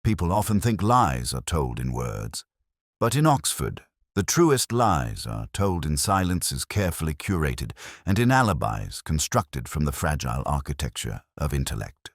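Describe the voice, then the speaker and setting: Very deep-voiced man narrating a detective story. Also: big pitch swings, moderate pace, no room sound, studio quality. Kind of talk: scripted first-person detective story narration.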